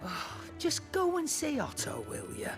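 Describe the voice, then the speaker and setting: A woman's voice: high voice, huge pitch swings, a little slow, vocal fry, full character voice. A woman playing a nosy, salty tavern keeper in a fantasy video game, with a regional English accent and blunt, colloquial talk. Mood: brusque, gossipy. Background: music.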